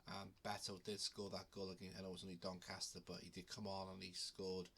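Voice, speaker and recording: A man with a head cold talks to his viewers in a low, flat, bright-edged voice. He rambles casually with plenty of ums and restarts. A faint background noise sits under the recording. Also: fast. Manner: relaxed, with frequent ums and restarts. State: has a cold. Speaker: betting channel host. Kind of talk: casual talk to camera.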